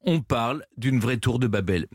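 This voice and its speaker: Lively husky man telling history on radio. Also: mid-range voice, normal speed, dry recording. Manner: lively, animated storytelling. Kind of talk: radio history storytelling with banter. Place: radio studio. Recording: dry studio sound with faint music behind.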